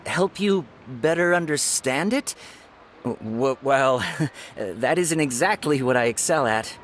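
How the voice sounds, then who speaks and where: An actor playing a showy, excitable and self-assured male fortune teller, eager and theatrical, in a video game cutscene. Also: mid-range voice, takes it slowly, slight background noise. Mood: flustered. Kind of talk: English game dub cutscene dialogue.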